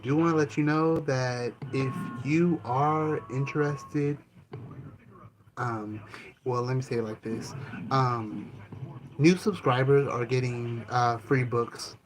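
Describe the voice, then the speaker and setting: A man hosting his own channel, answering questions about himself in a calm, easygoing way, with many ums and restarts. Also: medium pitch, low-quality recording. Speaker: channel host and author. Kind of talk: casual self-interview answering questions. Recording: noticeable background ambience noise.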